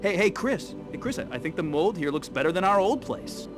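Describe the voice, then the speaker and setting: An actor playing a brash, sarcastic American street resistance fighter in a video game, wisecracking and excitable. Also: male voice, high-pitched, fairly quick, over music. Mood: excited. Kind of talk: casual game cutscene dialogue.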